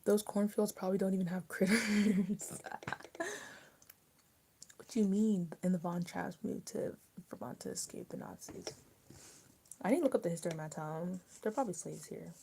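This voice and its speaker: A young woman hosting a casual livestream while she eats dinner. She rambles and drops often into soft near-whispers. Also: medium pitch, moderate pace, gravelly, slight background noise. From US mid-Atlantic, rural border area. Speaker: livestream host. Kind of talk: casual chat with live viewers while eating.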